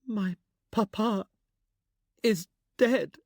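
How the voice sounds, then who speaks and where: Male reader voicing a young woman, high and shaky, grief-stricken but stubborn. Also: expressive, moderate pace, no echo, studio quality. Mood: distraught. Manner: high, trembling, pleading.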